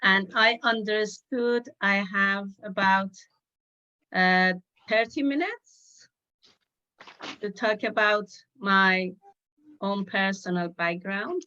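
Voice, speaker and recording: Woman guest speaker, unhurried measured delivery, muffled lo-fi call audio. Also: medium pitch, pushed and bright, clean tone. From Afghanistan. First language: Dari. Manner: measured, with frequent uh pauses. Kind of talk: personal talk on a video call.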